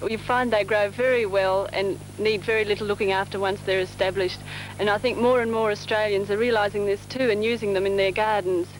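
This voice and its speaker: A woman's voice: mid-range voice, steady tone, brisk, hardly any pauses, poor audio. A woman from the Netherlands reflecting in a hesitant, thoughtful way in a documentary interview, heard on a hissy 1970s TV documentary recording.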